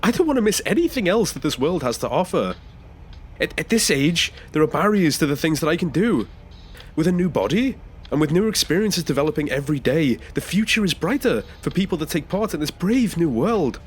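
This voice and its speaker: A man's voice: a little high, very animated, fairly quick, a little hiss or hum. A man playing a nervous, earnest passenger in an audio drama, speaking hesitantly with small stammers. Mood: earnest, determined. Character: nervous ageing male passenger seeking a new body. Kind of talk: naturalistic audio drama acting.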